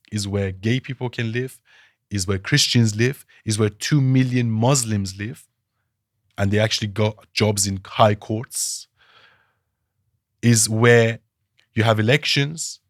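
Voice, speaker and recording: A man's voice: fairly low pitch, dry recording, clean studio sound. A man from Iran, a political analyst guest, arguing his views emphatically with rhetorical questions in a podcast interview.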